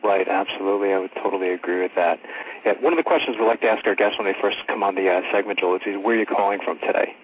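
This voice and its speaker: A man's voice: low-pitched, racing. A man hosting a radio interview show in an enthusiastic, affirming way. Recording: tinny phone line with faint noise.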